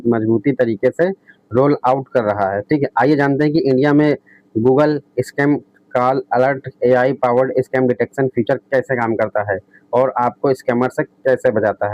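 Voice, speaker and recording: A man's voice: mid-range voice, darkish tone, no room sound. A man explaining tech as a video host, reading out points and restating them with frequent checking tags. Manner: repetitive, often adds a checking tag. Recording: dull lo-fi close recording.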